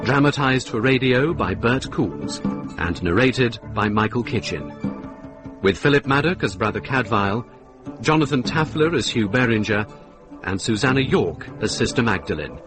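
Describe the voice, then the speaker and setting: A male announcer reads drama credits in a formal radio style. His delivery is measured, in a medium-pitched voice, with music clearly playing behind him. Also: animated.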